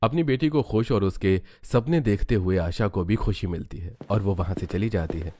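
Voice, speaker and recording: A man's voice: on the low side, moderate pace, darkish tone, slight background noise. A man reading scripted storytelling narration for a cartoon.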